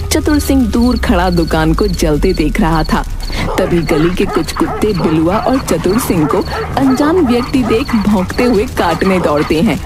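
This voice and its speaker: Animated woman narrating a cartoon story. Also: fairly low pitch.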